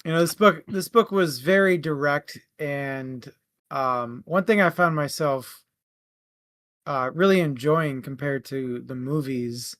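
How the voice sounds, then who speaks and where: A man co-hosting a book club show, discussing casually in a halting, conversational way. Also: a little high, a bit bright, no room sound, studio quality.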